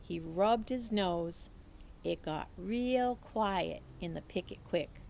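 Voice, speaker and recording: A woman reading a children's story aloud in an expressive, animated storytelling manner. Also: on the low side, slow pace, gravelly, slight background noise. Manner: animated storytelling, acts out dialogue. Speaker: teacher reading a book aloud. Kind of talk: expressive children's audiobook-style read-aloud.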